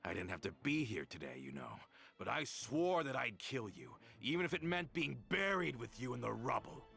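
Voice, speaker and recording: A gravelly, bitter middle-aged traitor. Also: male voice, medium pitch, very animated, moderate pace, heavily acted. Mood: cold, bitter. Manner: accusing, resentful, rising to shouts. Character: bitter vengeful middle-aged man, betrayer. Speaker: villain in a game cutscene. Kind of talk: dubbed game voice acting.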